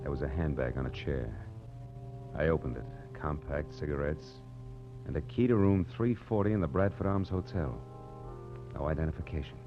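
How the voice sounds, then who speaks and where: An actor playing a hard-boiled, world-weary private detective in a 1940s radio drama, with an American accent and clipped, deadpan delivery. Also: male voice, rumbling low, moderate pace. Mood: tense, measured. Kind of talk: 1940s radio noir acting, first-person narration. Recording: muffled old radio recording with music.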